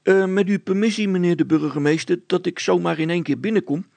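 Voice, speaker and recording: A man's voice: high-pitched, fast, vocal fry, a little mellow, no echo, voice pushed up. A man voicing an eager, earnest village boy in a story, polite and urgent. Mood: breathless, urgent.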